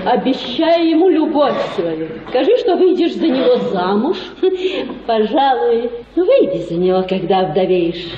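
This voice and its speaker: A roomy, slightly dull radio-play recording of a scheming society mother. She is effusive and persuasive, with a high voice that coaxes theatrically. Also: sing-song, unhurried, crowd noise. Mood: rapturous coaxing.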